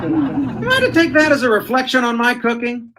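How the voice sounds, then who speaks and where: A man's voice: extremely high pitch, expressive, moderate pace, over a noisy crowd, heavy background noise. A man playing a folksy, suspicious, exasperated husband in an old-time radio comedy. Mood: indignant. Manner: folksy, exasperated comic delivery. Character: grumbling, suspicious husband, folksy comic blowhard.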